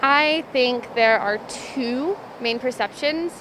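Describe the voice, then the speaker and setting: High-voiced American woman on a noisy street. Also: takes it slowly. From Kansas, United States. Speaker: interviewee. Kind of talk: casual street interview answers. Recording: clear street traffic noise.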